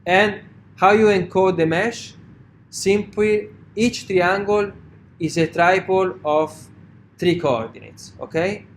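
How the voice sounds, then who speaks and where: Italian man, somewhat high voice, informal halting lecturer, faint background hiss. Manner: halting, many fillers and "right?" checks. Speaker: university lecturer. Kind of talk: classroom lecture.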